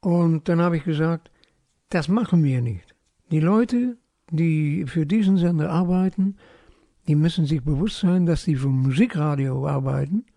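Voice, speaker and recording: An older man from the Netherlands with a Dutch accent, recalling events haltingly in non-native phrasing. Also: on the high side, gravelly, mellow, no room sound, clean studio sound. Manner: halting, with broken-off words and non-native grammar. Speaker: radio consultant being interviewed. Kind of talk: spontaneous interview recollection.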